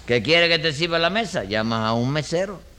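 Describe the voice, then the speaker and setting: A man's voice: on the high side, expressive, normal speed, sharp tone, clean tone. A man playing a brash, argumentative Cuban rogue on trial, with a Cuban accent, in a radio comedy. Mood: know-it-all. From Cuba. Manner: cocky, argumentative, keeps saying chico. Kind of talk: vintage radio comedy acting.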